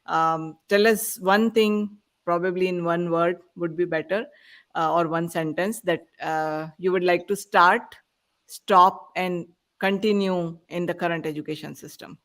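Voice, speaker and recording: A woman's voice: lowish voice, fairly even intonation, unhurried, a bit bright. A woman co-hosting a podcast panel, talking in an informal, chatty way. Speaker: podcast co-host.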